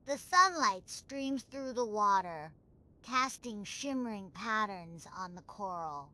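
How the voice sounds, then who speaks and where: A woman narrating a scripted bedtime sleep story in a soothing, measured, unhurried manner. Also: mid-range voice, animated, sharp tone, poor audio.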